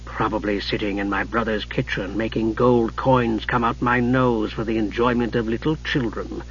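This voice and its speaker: A man voicing a cold, disdainful mage in a slow, quiet, menacing manner, as in a fantasy audiobook. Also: fairly low pitch, fairly even intonation, muffled recording, a little hiss or hum, pitched below the performer's own voice, natural voice. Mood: dry sarcasm. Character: frail male mage, cold, disdainful, thinly amused.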